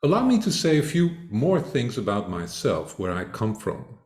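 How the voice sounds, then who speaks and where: An older man from Flanders, Belgium, giving a measured academic conference talk as a speaker. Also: medium pitch, wildly swooping pitch, darkish tone, reverberant. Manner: measured, deliberate lecturing.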